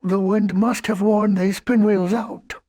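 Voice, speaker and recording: A woman voicing a gentle, naive teddy bear who muses slowly in a children's storybook. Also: medium pitch, fairly even intonation, lightly acted. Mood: musing. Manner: slow, musing, naive. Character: gentle, simple, kindly male teddy bear. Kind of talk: children's storybook character acting.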